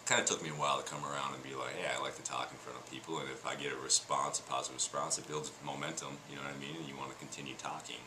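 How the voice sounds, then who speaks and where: Man, deep voice, very low pitch, rambling casual answers, noisy recording. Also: very fast, hardly any pauses. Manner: relaxed, rambling with fillers. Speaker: teacher being interviewed.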